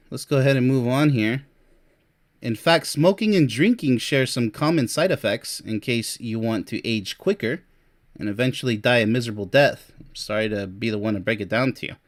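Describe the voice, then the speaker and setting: Forties male vlogger, mid-range voice, blunt and sarcastic, boastful, laughs at his own jabs. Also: mid-range voice. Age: 40s. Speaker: relationship coach and vlogger. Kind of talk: casual monologue reading from a list. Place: Europe.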